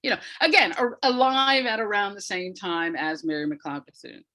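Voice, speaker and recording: Woman, interview guest, mid-range pitch, emphatic explainer who repeats phrases to drive a point home. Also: normal speed, bright, forceful tone. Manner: emphatic, repeats phrases for effect, laughs often. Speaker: interview guest, audio drama maker. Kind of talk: animated explanatory interview talk.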